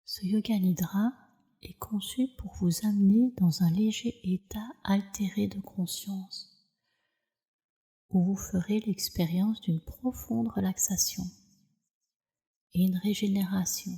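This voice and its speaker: A woman leading a guided relaxation meditation as a yoga nidra teacher, in a soft, soothing, measured way with breathy phrase endings. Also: medium pitch, fairly even intonation.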